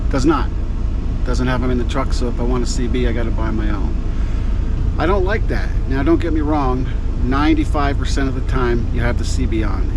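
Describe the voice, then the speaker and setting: A middle-aged man, a truck driver, chatting casually and plainly to the camera in his cab. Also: medium pitch. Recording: loud vehicle noise throughout. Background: loud engine and road noise.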